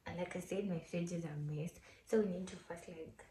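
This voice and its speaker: A woman vlogger, croaky from just waking up, talking in a soft half-whisper with lots of "like" fillers in a casual voice-over from her home kitchen. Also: on the low side, steady tone, moderate pace, a little hiss or hum. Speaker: lifestyle vlogger.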